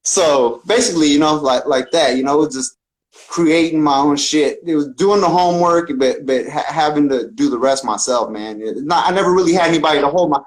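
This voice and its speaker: Male podcast guest, musician type, voice on the higher side, casual and streetwise slang, unpolished and profane. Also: brisk. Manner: slangy, profane, often says "man" and "bro". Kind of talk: casual conversational interview.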